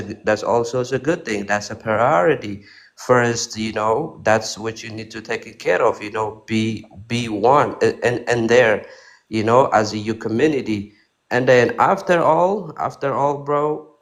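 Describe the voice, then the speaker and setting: A man from Ethiopia, calling in to a talk room and speaking haltingly with many fillers. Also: mid-range voice. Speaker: caller. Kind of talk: casual chat.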